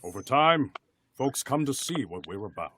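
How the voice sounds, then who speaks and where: An actor playing a steady, principled male superhero in an animated script reading. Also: medium pitch, moderate pace, a little hiss or hum. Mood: resolute.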